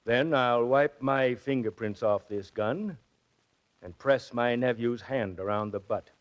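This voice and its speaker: An actor playing a smug, murderous, scheming uncle in 1940s radio drama, smooth and menacing. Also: male voice, medium pitch, a little slow, close to an everyday voice. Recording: dull 1940s radio recording.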